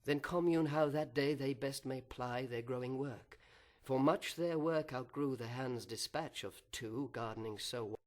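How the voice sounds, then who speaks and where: A man narrating literary verse dramatically, measured and solemn, with deliberate pauses. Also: medium pitch.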